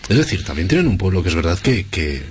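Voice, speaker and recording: Man interviewer, very low voice, rapid questions, frequent affirmations. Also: big pitch swings, a little hiss or hum. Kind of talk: interview conversation.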